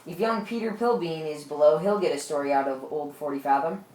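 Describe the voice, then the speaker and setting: A woman's voice: low voice, a little fast, darkish tone, natural voice. A woman playing a genial male shipboard host in an amateur radio play. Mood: inviting. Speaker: announcer. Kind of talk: amateur radio drama acting. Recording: echoey room.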